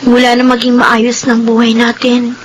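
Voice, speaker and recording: A woman's voice: on the high side, completely flat delivery, moderate pace, a little hiss or hum, lightly acted. An actress with a Filipino accent playing an earnest, sentimental teenage girl in an emotive radio drama. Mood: hurt, wistful. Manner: emotive, plaintive. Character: earnest, sentimental teenage daughter, a twin sister.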